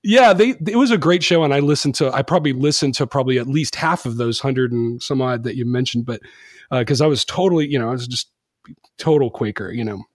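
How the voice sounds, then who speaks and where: Male podcast host, middle pitch, dry close sound, laughs at himself a lot. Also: a little fast, dry recording. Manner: laughs often, self-deprecating. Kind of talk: casual conversation.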